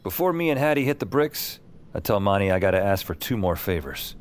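A man's voice: fairly low pitch, very animated, fairly quick, a little hiss or hum. An actor playing a wry, world-weary adventurer who narrates his own story in an audio drama with slangy lines. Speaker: narrator and lead character. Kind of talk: first-person scripted narration in an audio drama.

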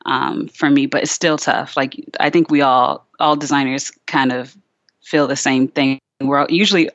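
Young woman, podcast guest, low voice, chatty and candid, lots of fillers, slightly dull lo-fi sound. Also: fairly even intonation, moderate pace, fairly bright tone, no room sound. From Richmond, Virginia, USA. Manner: chatty and candid, heavy use of "like" and restarts. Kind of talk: casual interview conversation.

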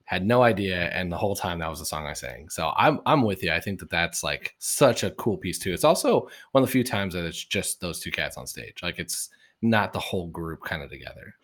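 A man hosting a casual podcast interview, chatty, with quick enthusiastic asides. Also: low-pitched, expressive, a bit bright. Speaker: podcast host.

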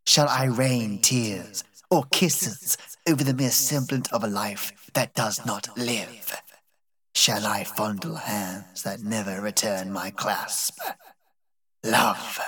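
A man reading an old deaf servant woman's lines in a low, harsh, slow, bitter voice for an audiobook. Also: a bit bright, slightly rough, far from the mic, heavily acted. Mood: passionate.

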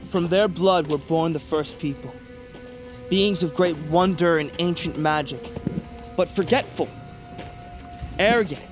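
Man as a slow, high-voiced mythic storyteller. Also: music in the background, close to an everyday voice. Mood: solemn, ominous. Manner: portentous, incantatory. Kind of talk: dramatic scripted myth narration.

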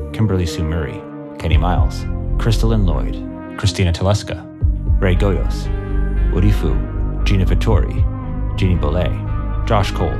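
A man reading scripted credits as an announcer, in a measured narration style. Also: low voice. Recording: loud music under voice.

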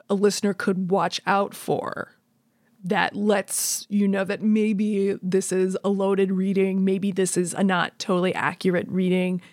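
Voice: mid-range voice, a little mellow, no echo, clean studio sound. An interviewer putting questions in a conversational style.

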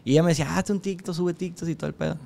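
Fast-talking young man, dark tone. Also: fairly high pitch, fairly even intonation, creaky. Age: 20s. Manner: slangy, says "güey" constantly, laughs often. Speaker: podcast guest. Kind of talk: casual chat.

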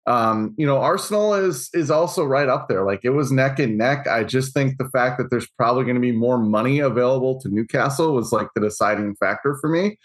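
A man co-hosting a sports podcast, talking in a halting way with many restarts, often laughing and mocking himself. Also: mid-range voice. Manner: self-mocking. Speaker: podcast co-host. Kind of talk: casual sports chat.

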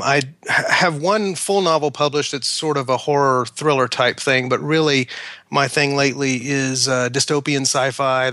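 A man speaking as an author guest in a casual interview, explaining things in halting phrases. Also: medium pitch, pushed and bright, no room sound.

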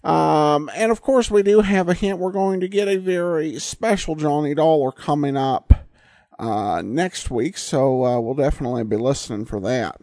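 A man's voice: fairly high pitch, normal speed, mellow, no echo, studio quality. A man hosting an old-time radio podcast, chatting casually and reading out listener mail, with frequent ums and uhs. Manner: conversational, many filler words and restarts. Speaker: podcast host.

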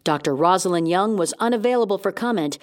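A woman's voice: fairly low pitch, expressive, fast talker, close to an everyday voice. A woman playing a composed, serious news anchor reading a scripted report in an audio drama. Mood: grave, measured.

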